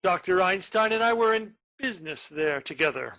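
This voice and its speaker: A menacing criminal nephew in a radio stage comedy, acted by a man. He broods theatrically and turns mock-sweet, with pitch that swings widely from phrase to phrase. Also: animated, moderate pace, gravelly, sharp tone.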